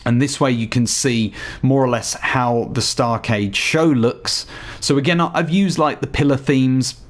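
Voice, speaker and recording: Slightly hoarse man narrating a game tutorial. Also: medium pitch, fairly even intonation, measured pace. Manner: chatty, addresses viewers directly. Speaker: gaming YouTuber. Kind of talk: casual game tutorial voice-over.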